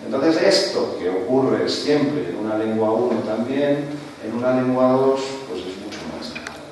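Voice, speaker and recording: A man's voice: medium pitch, animated, a little slow, darkish tone, far from the mic, a little hiss or hum. A man who is not a native Spanish speaker giving an academic talk to an audience, lecturing with frequent rhetorical tag questions. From Spain. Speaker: panelist. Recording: roomy hall, speaker away from mic, faint ambience.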